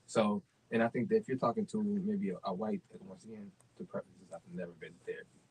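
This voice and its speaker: A man's voice: on the low side, quick pace, a little mellow, husky, poor audio. A man chatting as a guest in a casual conversation, hesitant with many fillers. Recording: faint background noise.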